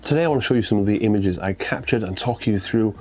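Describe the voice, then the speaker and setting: A man's voice: on the low side, fast talker. Stuffy-voiced man with a cold. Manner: relaxed, conversational, a few fillers. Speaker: photography video host. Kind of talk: talking through his photos.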